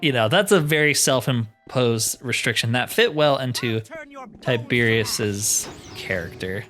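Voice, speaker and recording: A man's voice: medium pitch, lively intonation, measured pace, a bit bright, soft background music. A man giving casual, chatty let's-play commentary, often explaining himself in asides. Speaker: host of a gaming playthrough.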